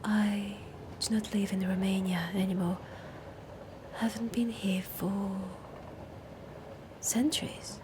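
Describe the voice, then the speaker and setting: A woman voicing a centuries-old vampire woman with a French accent, speaking in a husky, whispery, sick voice in a slow, teasing, languid way for an audio roleplay. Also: medium pitch, little pitch movement, background noise, natural voice. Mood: sly.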